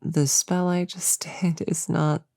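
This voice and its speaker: A woman playing a flustered, earnest young novice witch in an ASMR audio roleplay, soft-spoken and halting, slipping into whispers. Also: sits low, little pitch movement, creaky, no room sound, clean studio sound, exaggerated voice. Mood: sheepish.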